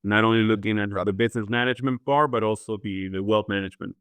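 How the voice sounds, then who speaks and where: A man from Chile with a low, clear voice and fairly flat intonation explains things as an expert podcast guest. He talks conversationally, often seeking agreement as he goes. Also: fast, no echo. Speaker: podcast guest, financial planner.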